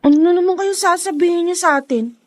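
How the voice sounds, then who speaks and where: A cautious Filipina schoolgirl in a radio drama. Her voice is extremely high and insistent. She talks like a bossy older sister. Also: quick pace. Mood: wary, scolding.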